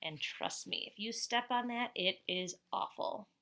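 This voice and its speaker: A middle-aged woman reading a picture book aloud like a teacher at storytime, expressive, with chatty asides and questions to young listeners. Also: medium pitch, measured pace.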